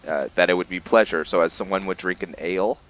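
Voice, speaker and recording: A man giving casual, improvised game commentary in a halting, think-aloud manner as he narrates a roleplay playthrough. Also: sits low, a bit bright, a little hiss or hum, poor audio. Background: background game music.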